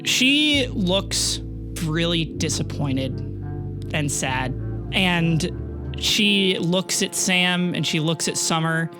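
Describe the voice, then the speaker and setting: A game master improvising narration for a tabletop audio drama, informal and with dramatic pauses. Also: medium pitch, animated. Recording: music bed under the voice.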